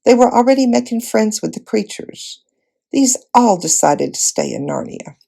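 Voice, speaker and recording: A woman reading a children's fantasy novel aloud as an expressive storybook narrator. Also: a little high, moderate pace, gravelly. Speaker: volunteer storybook reader.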